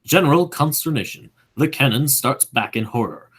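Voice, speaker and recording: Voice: medium pitch, lively intonation, moderate pace, a bit bright, slightly rough. A narrator reading stage directions aloud for a radio play, in a scripted narration style.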